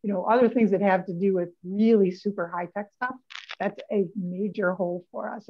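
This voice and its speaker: Older woman, senator on a video-call panel, animated, mid-pitched, muffled call audio. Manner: animated, gestures a lot while talking. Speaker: state senator. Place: home office.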